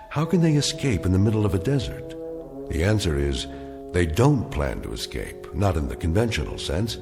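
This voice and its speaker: A man, an actor playing a grave, ominous narrator of uncanny tales in a measured, deliberate style. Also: lowish voice. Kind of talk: dramatic scripted anthology narration. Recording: long reverb, music behind.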